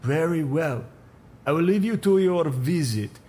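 A man's voice: a little high, lively intonation, a little slow, warm tone, voice pushed up. A man voicing a tall male building supervisor with a Russian accent, speaking stiff, broken English. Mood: nervous.

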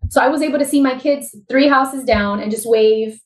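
A woman's voice: high-pitched, moderate pace, reverberant. A woman in her thirties with a Miami accent, a guest telling her story openly in a casual interview. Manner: open, candid storytelling.

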